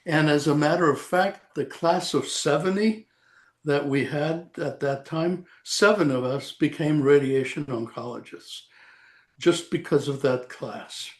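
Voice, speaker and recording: A man in his eighties from El Salvador, a retired radiation oncologist recalling his career in a halting, rambling interview over a video call. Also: on the high side. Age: 80s. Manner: slow, rambling, halting with frequent "uh". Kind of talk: reminiscing interview.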